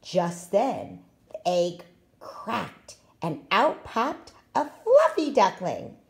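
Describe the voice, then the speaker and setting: A woman reading a children's picture book aloud in an expressive, deliberate way. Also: medium pitch, creaky. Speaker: storytime reader, school staff.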